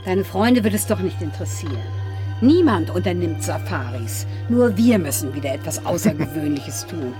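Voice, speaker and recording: A woman's voice: on the low side, animated, moderate pace, close to an everyday voice. An actress playing a fretful, complaining middle-aged mother in a German science-fiction radio play. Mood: nagging. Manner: nagging, anxious. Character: fretful, complaining mother, a conformist consumer on holiday. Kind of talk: 1960s-90s German radio play acting. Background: music.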